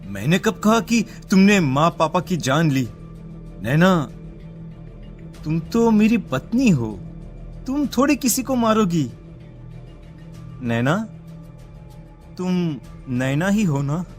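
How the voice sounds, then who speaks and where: An actor playing a grieving, anxious husband and eldest son in a cartoon drama, with a heavy, halting delivery. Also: male voice, sits high, sing-song, full character voice. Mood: suspicious, tense. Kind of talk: dramatic cartoon story acting. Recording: music behind the voice.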